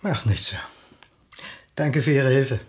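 An actor playing a polite, methodical police officer questioning a witness in a radio drama. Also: male voice, medium pitch, slow, natural voice. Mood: polite, probing. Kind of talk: naturalistic radio drama acting.

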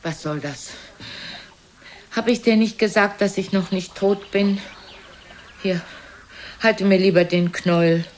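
Sharp-tongued old mother over noisy sound, acted by a woman. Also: medium pitch, slow pace, pauses often, lightly acted. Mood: brisk, testy. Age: older. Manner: theatrical, scolding, drops into whispers. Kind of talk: German radio play acting. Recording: noisy older radio recording.

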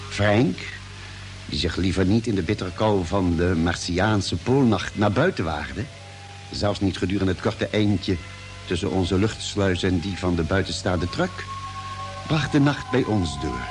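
A low-voiced, formal storyteller recounts a space expedition in an old radio play. His delivery is measured but swings expressively, with music clearly underneath. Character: expedition doctor recounting a Mars voyage, measured man. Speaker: narrator. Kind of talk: scripted radio drama narration.

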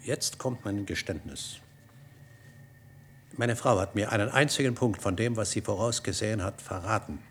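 A man's voice: on the low side, normal speed, fairly bright tone, slight background noise, close to an everyday voice. An actor playing a scheming, persuasive male doctor in a science fiction radio play. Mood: resolute, urgent. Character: shrewd, scheming male doctor tempting a colleague.

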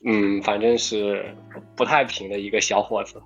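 A man's voice: little pitch movement, normal speed. Young man on a dull online mic. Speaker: voice actor guest. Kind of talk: casual chat. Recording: dull low-fidelity online mic, music behind.

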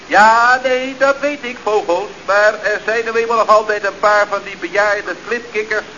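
A man's voice: sits high, steady tone, moderate pace, sharp tone. An actor playing a chatty, slangy youth-club leader who reports deferentially and glibly to his boss in a radio comedy. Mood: breezy. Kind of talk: Dutch radio comedy acting. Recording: dull, noisy old radio recording.

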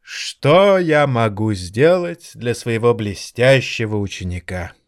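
An elderly maestro and music teacher in an audio drama. His mid-pitched voice swings widely and theatrically, florid and excitable, with an indulgent, condescending affection. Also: slow. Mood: reluctant, indulgent.

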